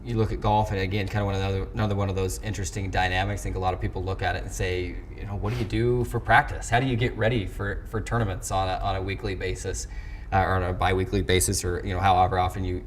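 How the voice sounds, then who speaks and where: A man hosting a conversational sports interview, asking wordy, rambling questions. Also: low-pitched. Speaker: sports show host interviewing a coach. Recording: clearly noisy background ambience.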